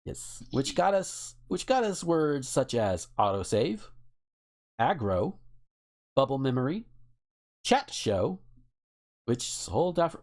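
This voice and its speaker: A man's voice: mid-range voice, big pitch swings, slow pace, pauses often, a little mellow. A man hosting a casual podcast, reading out lists and adding informal, rambling asides. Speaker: podcast host.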